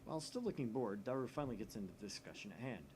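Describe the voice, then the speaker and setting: A man's voice: mid-range voice, animated, rapid-fire, noisy background. A man hosting a gaming video, narrating a visual novel aloud.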